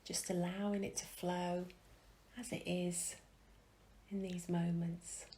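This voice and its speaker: A woman leading a guided meditation in a gentle, soothing way, with soft phrases that trail into a whisper and long pauses. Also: lowish voice, flat delivery, a little hiss or hum.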